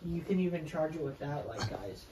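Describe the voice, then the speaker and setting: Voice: mid-range voice, expressive, normal speed, a loud crowd, low-quality recording. A chatty co-host giving a casual, unscripted product review, speaking directly to viewers and a partner.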